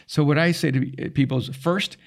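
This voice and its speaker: Man from El Salvador, Spanish first language, middle-aged, gravelly voice, lively storytelling, pastor on a podcast. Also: fairly high pitch, moderate pace. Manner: animated storyteller with quoted asides. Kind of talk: casual conversation.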